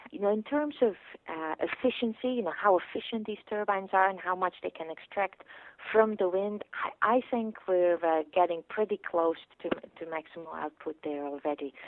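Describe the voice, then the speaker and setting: A woman's voice: on the low side, no room sound. Dutch-born woman professor, patient explainer, heard over a thin phone line. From Netherlands. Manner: thoughtful, explanatory, frequent restarts. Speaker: professor, guest expert. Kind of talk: interview answers explaining engineering.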